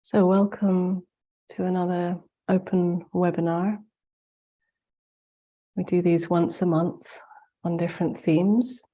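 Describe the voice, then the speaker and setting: A soft-spoken woman leads a guided meditation over a video call in a low, mellow voice. Her nose sounds blocked up as she gets over the flu. She speaks very slowly with hardly any rise or fall. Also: level tone, poor audio. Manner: slow, soft-spoken, gently guiding. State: congested, getting over the flu. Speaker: spiritual teacher hosting a webinar.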